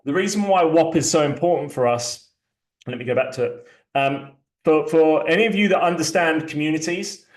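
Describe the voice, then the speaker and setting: A man hosting a community launch, talking casually through a shared screen, loose and chatty with many fillers and restarts. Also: fairly high pitch. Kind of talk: casual live walkthrough, screen sharing. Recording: echoey room sound.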